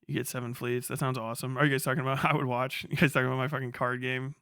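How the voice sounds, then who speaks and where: A man's voice: mid-range voice, level tone, racing, fairly bright tone, clear, resonant tone, no room sound, studio quality. A man hosting a livestream, ranting casually and reading chat with profane sarcasm, often acting out mock exchanges. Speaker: livestream host.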